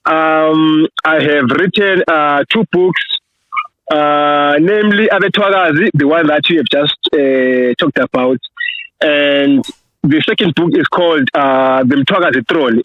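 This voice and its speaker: A man with a Zimbabwean accent, an author answering interview questions in a halting way, with many fillers and restarts. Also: on the high side, no echo. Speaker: author and researcher, podcast guest. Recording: tinny phone line.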